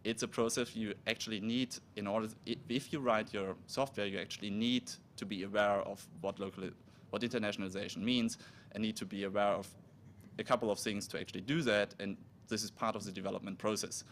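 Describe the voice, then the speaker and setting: A man, a native German speaker, giving a technical conference talk, halting and restarting as he explains. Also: lowish voice, fairly even intonation, fairly bright tone, a little hiss or hum. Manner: halting, with restarts and self-corrections. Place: conference room.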